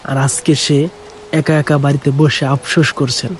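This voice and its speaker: A man narrating a Bengali village cartoon story in a plain, steady storytelling voice. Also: on the high side, moderate pace, slight background noise. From Bangladesh.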